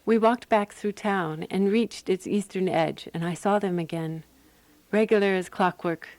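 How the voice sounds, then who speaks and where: A practical woman detective played by an actress in a radio mystery. She speaks at a medium pitch, composed and deliberate, with quiet assurance as she lays out her case. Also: close to an everyday voice. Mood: measured narrating. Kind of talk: radio drama dialogue and first-person narration.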